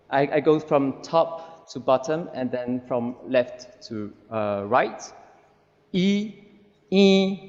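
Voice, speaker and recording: A man with a Taiwanese accent, from Cambodia, patiently explaining vowels and consonants one sound at a time in a recorded lesson. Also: fairly high pitch. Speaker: language teacher in a recorded video. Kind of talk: slow methodical explanation. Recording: dull, reverberant played-back video audio.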